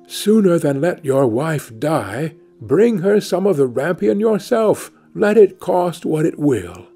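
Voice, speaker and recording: Devoted fairy-tale husband, earnest and pleading, mellow, husky voice, played by a male narrator. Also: on the high side, big pitch swings, slow, full character voice. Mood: resolved. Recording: music under the voice.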